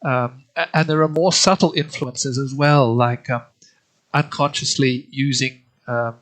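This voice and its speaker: A man in his fifties from Australia, with a slight German tinge to his English, answering interview questions thoughtfully with many pauses and restarts. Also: medium pitch, fairly even intonation, fairly bright tone. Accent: slight German influence on Australian English. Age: 50s. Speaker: guest, literary translator.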